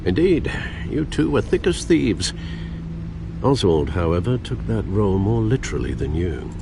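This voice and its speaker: Game butler: older, British, prim and cautious, lowish voice, expressive intonation. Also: male voice, vocal fry, noisy background. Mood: dry. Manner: formal, dry, measured. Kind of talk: video game voice acting.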